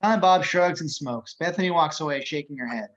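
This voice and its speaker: Higher-pitched man reading screenplay directions. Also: fairly quick, dull audio. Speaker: reader of action descriptions. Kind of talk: scripted narration of screenplay directions.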